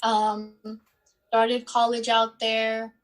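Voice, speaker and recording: A woman in her twenties, born in Bosnia and Herzegovina, answering interview questions in an unhurried way with many pauses and fillers. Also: a little high, completely flat delivery. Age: 20s. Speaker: guest, student athlete.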